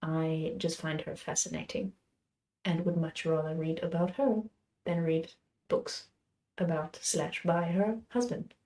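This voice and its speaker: A young woman hosting her book channel, chatting about what she plans to read next in a rambling, self-correcting way, her voice a little hoarse from being sick. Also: on the low side, steady tone, measured pace, mellow, rough recording. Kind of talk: casual chat about books.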